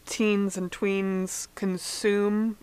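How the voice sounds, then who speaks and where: A woman's voice: mid-range voice, flat delivery, very slow, a little mellow, smooth, no room sound. A woman co-hosting a radio interview and asking questions conversationally. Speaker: radio co-host.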